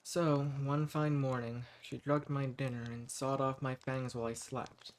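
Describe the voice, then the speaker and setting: A man playing a centuries-old hermit vampire, eccentric and sweet-talking with quiet menace, in a scripted roleplay audio drama spoken to the listener. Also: mid-range voice, level tone, a little slow, close to an everyday voice. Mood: wistful storytelling.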